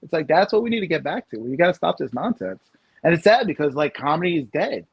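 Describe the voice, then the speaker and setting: A man in his thirties from the United States, co-hosting a casual podcast chat, animated and rambling with lots of fillers and restarts. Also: on the high side, fast, vocal fry, breathy edge, no room sound, dull audio. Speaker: podcast co-host.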